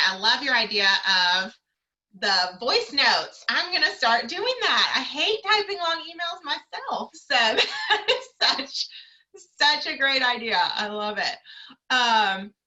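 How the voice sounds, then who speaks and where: A woman's voice: fairly high pitch, pushed and bright, rough recording. A woman career coach moderating a video call panel, encouraging and full of fillers.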